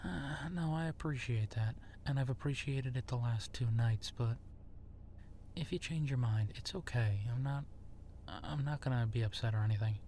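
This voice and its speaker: A male actor plays a man who secretly turns werewolf, friendly and self-effacing, in an intimate audio drama. His medium-pitched voice is halting and conversational. Some ambient noise runs behind the voice. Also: mellow, natural voice. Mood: friendly, grateful. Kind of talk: roleplay audio drama acting, intimate ASMR.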